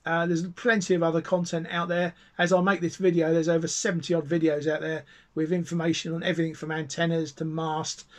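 A middle-aged man with a British accent giving a casual, enthusiastic, rambling explanation as a hobbyist video host. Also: fairly high pitch. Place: small cluttered home radio room, indoors.

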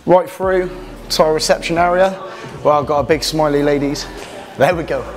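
A man's voice: fairly high pitch, fairly even intonation, normal speed, soft background music. A man in his thirties, a personal trainer, leading a chatty walking tour of a gym with lots of fillers, recorded on a camera mic in large indoor halls. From England. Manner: upbeat, chatty, many fillers. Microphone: camera mic, handheld camera following. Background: fans and building work.

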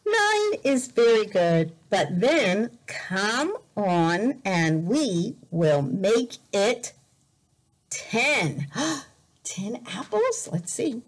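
A woman teacher reading a picture book aloud as a lesson for young learners, deliberate and expressive, repeating words carefully. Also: mid-range voice. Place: indoor room, at a desk.

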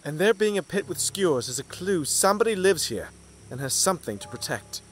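Man acting a lively, curious young seafarer. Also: high voice, brisk, slight background noise. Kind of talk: fantasy audio drama acting.

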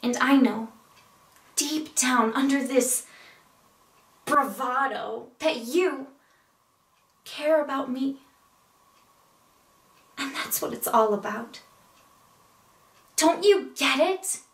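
Woman acting a hurt, insistent lover, dramatic stage monologue, high voice, halting pauses, distant mic. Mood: pleading. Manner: intense, emphatic, halting pauses. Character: wounded, insistent young woman confronting her beloved. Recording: echoey room, distant mic.

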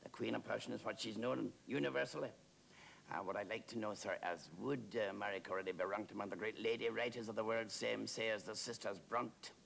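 A man's voice: on the low side, fairly even intonation, fairly quick, gravelly, a bit bright, a little hiss or hum, voice pushed up, low-quality recording. A man playing a naive, talkative working-class warder who rambles deferentially in a theatrical monologue. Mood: scandalised, eager. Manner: rambling, hesitant, repetitive, with deferential address.

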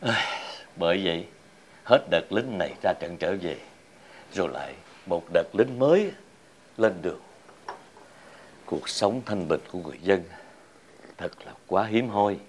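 Animated old Vietnamese village grandfather. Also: deep voice, measured pace, long pauses, slight background noise. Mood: grave, reflective. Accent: southern Vietnamese. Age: older. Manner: theatrical, exclaiming. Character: excitable, kindly old village grandfather in folk tale. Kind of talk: Vietnamese period fairy-tale TV acting. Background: background music.

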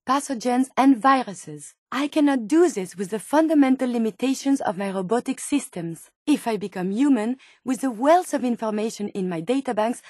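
A woman's voice: a little high, darkish tone, no echo, clean studio sound. A woman with a French accent voicing a polite, earnest female robot scientist in a video game, speaking formally and precisely. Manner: formal, precise, courteous phrasing without contractions. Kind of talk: video game character dialogue.

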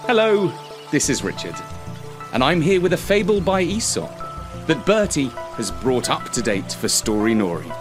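A man narrating a children's story as storyteller in an expressive, theatrical way. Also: a little high, moderate pace, over music.

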